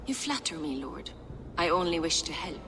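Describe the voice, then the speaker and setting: Devout abbess in a reverberant hall. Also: female voice, deep voice, sing-song, normal speed, background noise. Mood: humble. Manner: earnest, formal. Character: devout, resolute abbess guarding sacred texts. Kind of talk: video game voice acting.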